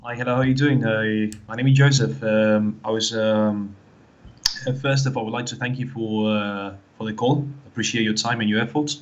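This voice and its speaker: A low-pitched man with a Spanish accent mixed with British English rambles through his story on a call, full of "like, you know". The call audio is muffled and dull. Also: steady tone, moderate pace. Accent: Spanish with British English mix. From Canary Islands, Spain. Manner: rambling, many fillers and restarts. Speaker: caller. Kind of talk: phoned-in personal story.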